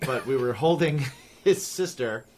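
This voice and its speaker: A man's voice: mid-range voice, lively intonation, a little slow, darkish tone, slight background noise. A man as a podcast guest, telling stories casually and playfully, repeating himself for emphasis. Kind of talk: casual storytelling chat.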